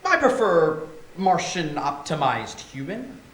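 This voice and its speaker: A man's voice: a little high, big pitch swings, slow, fairly bright tone, exaggerated voice. A man playing a charming, teasing doctor in a sci-fi stage reading. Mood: teasing, flirtatious. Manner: playful, teasing, theatrical. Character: charming, flirtatious, teasing male doctor in a Martian colony. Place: theatre stage. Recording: roomy theatre recording with faint noise.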